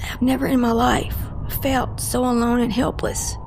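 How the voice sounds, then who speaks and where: A woman playing a haunted, guarded cryptid agent in an audio drama, telling her story with halting emotion and broken-off sentences. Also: on the high side, little pitch movement, a little mellow, a little hiss or hum, close to an everyday voice. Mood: anguished, dread.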